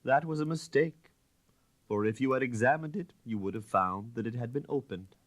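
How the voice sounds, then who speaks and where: Man voicing a suave, high-pitched gentleman sleuth. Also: moderate pace, dry recording, lightly acted. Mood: assured, explanatory. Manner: dogmatic, tranquil, precise. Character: suave, self-assured gentleman, calm dogmatic amateur detective.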